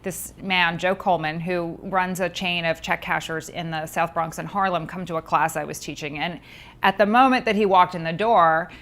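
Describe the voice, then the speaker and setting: A middle-aged woman, a researcher and author, answering interview questions in a thoughtful, measured way with frequent ums. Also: on the low side, steady tone, fairly bright tone.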